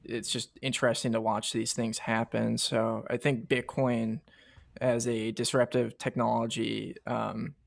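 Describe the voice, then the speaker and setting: A man's voice: medium pitch, flat delivery, a little slow, creaky, no echo. A man hosting a podcast, talking casually with a lot of "you know" and "um" fillers.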